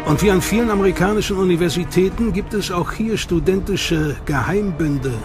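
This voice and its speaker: Higher-pitched male documentary narrator. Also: fairly quick, music in the background.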